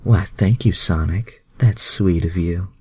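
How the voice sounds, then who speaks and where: A man's voice: deep voice, takes it slowly, a little mellow, breathy edge, full character voice. A man voicing a flirtatious, confident mutant heroine, coy and teasing, for a fan fiction story.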